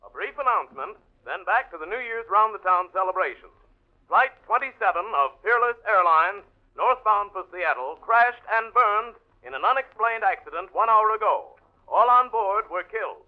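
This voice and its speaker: A man playing a brisk news announcer, reading a 1940s radio bulletin in a formal, declamatory way. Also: high voice, animated. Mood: grave, urgent. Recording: dull old radio recording.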